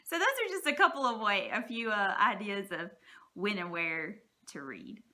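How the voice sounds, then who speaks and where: A woman's voice: medium pitch, lively intonation, normal speed, pushed and bright. A woman speaking as a teacher, giving informal, encouraging and reassuring advice to parents in a plain-spoken, folksy way.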